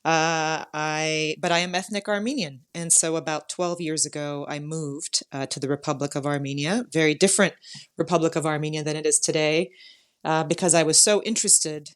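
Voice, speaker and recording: Woman, American accent, very low pitch, earnest, thoughtful, interview guest. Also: fairly even intonation, moderate pace, clear, resonant tone. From United States. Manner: earnest, thoughtful, with frequent fillers. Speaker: guest, lawyer and founder. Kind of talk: casual interview answers.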